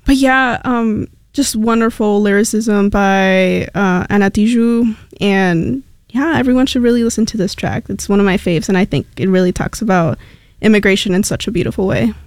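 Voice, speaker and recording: A young woman from Mexico talks as a guest on a radio interview, rambling casually with fillers and restarts. Her voice is smooth and clear. The studio sound is dry and close. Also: medium pitch, moderate pace, no room sound. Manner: chatty, many fillers and restarts.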